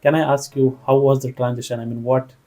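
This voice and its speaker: A man's voice: medium pitch, level tone, a little fast, mellow. A young man hosting a casual interview and asking questions with many fillers. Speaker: host.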